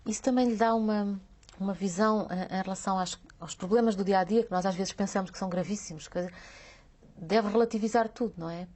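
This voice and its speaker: A woman's voice: medium pitch, fairly even intonation, quick pace, warm tone. A woman interviewing a guest, asking probing questions and often restarting them with hesitant repeats.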